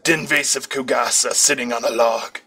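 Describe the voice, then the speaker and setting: Man, medium pitch, bright sharp tone, amateur story narration, occasional stumbles and self-corrections. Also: fairly even intonation, normal speed, husky. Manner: stumbles over words and corrects himself. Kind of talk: reading fan fiction aloud.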